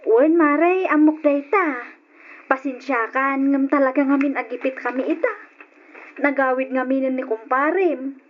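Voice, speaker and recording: High-voiced pleading mother. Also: unhurried, a little hiss or hum. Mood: pleading, anxious. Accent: Ilocano. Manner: pleading, apologetic. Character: hard-up, pleading mother, meek and self-sacrificing. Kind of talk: old radio drama acting. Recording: muffled lo-fi old radio drama.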